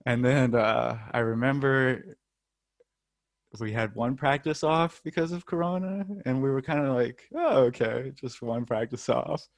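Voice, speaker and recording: Relaxed young American man, dry sound. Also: medium pitch, unhurried, no room sound. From United States. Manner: relaxed, lots of ums, light laughs. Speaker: football player, interview guest. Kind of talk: casual interview.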